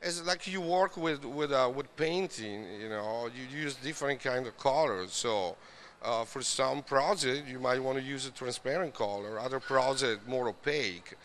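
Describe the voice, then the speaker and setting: A man's voice: mid-range voice, normal speed, a bit bright, a little hiss or hum. A man from Sardinia with an Italian accent, talking casually and hesitantly in an interview, often saying "you know". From Sardinia, Italy. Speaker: glass artist.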